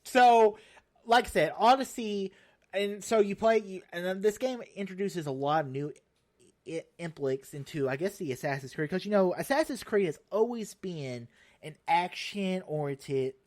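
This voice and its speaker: A young man hosting his own show, chatting casually about a video game, rambling and restarting sentences with sudden animated bursts. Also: sits high, measured pace. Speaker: show host.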